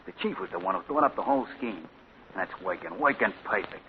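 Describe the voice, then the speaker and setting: Man doing a surly tough-talking crook, low voice, muffled old radio recording. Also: expressive, a little fast, lightly acted. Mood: smug. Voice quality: tough slangy street talk. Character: surly shifty male crook posing as a prophet. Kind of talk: 1940s radio acting. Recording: dull scratchy 1940s radio broadcast.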